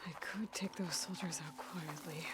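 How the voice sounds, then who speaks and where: A woman's voice: on the low side, steady tone, normal speed, heavy background noise, natural voice. A woman playing a determined, pragmatic young warrior-explorer in a video game, speaking plainly and directly. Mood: quiet musing. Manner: plainspoken, direct, mutters to herself. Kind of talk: naturalistic video game acting. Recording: loud game music behind.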